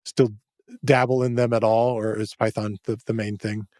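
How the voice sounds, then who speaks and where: A man's voice: lowish voice, little pitch movement, moderate pace, a little mellow, no room sound, studio quality. A man hosting a podcast, upbeat and affirming as he asks his guest open questions. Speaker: podcast host. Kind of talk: casual interview chat.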